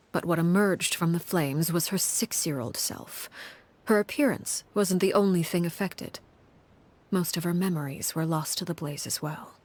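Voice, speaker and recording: A cold, commanding matriarch of an orphan household speaks in a low, husky, almost hushed tone. She talks calmly and measuredly, with a quiet menace under every word. Her voice sits deep for a woman and is slightly breathy. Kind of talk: video game voice acting.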